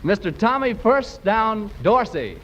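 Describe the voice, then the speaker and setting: A man's voice: sits high, sing-song, slow, heavy background noise. A man announcing in showy, peppy 1940s radio style. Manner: peppy, showy, alliterative delivery. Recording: muffled vintage radio broadcast with loud music. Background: loud music.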